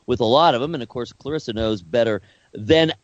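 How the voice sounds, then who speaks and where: A man co-hosting a talk podcast, speaking earnestly and haltingly, often repeating words as he works out his point. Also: medium pitch, no room sound, highs cut off. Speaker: podcast co-host. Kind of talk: casual discussion.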